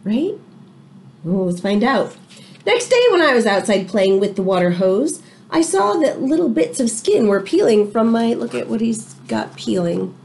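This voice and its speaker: A middle-aged woman, an elementary teacher, reading aloud to young children with expressive, chatty asides. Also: on the high side, normal speed, vocal fry. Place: small indoor room.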